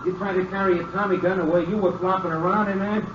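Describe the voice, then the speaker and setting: A male actor as a cocky outlaw with a high voice, dismissive and needling. He sounds off the mic and echoey, in an old radio western. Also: steady tone, fast talker, natural voice. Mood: taunting. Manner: dismissive, sharp-tongued. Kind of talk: 1950s radio western acting. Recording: muffled, echoey, distant old radio recording, noisy.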